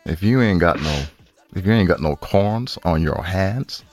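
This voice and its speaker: A man's voice: low-pitched, lively intonation, unhurried, faint music behind. A man co-hosting a podcast in casual chat, with slangy, teasing banter. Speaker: podcast co-host.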